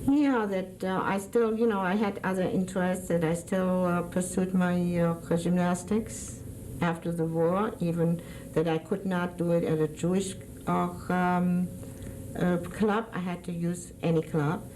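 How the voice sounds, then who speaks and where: Older woman, sixties, German accent, low mellow voice, hesitant oral-history interviewee. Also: fairly even intonation, unhurried, slight background noise, poor audio. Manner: hesitant, searching for words.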